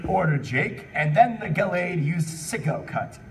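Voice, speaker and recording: A man's voice: a little high, animated, a little slow, a little hiss or hum. A man reading fan fiction aloud as host of a live comedy panel, breaking off often to riff and exclaim. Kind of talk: comic live reading with asides. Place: convention panel room with audience. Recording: distant mic in a crowded panel room.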